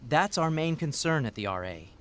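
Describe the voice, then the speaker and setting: An actor playing an earnest, measured government relief official in a 1930s-set radio drama, sympathetic and a little folksy. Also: male voice, on the high side, big pitch swings, a bit bright, clean tone. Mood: earnest, reassuring. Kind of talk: period radio drama dialogue.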